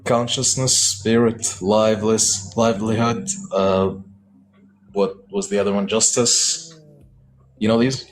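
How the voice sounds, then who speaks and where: A man's voice: on the low side, steady tone, slow pace, slight background noise, low-quality recording. A man in a live debate, pressing with probing, insistent questions. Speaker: debate participant.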